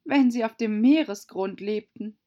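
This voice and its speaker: A prim young storybook girl, voiced by a woman, speaks in a raised, high pitch. She is polite but pert and easily indignant. The sound is slightly dull. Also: measured pace, mellow, lightly acted.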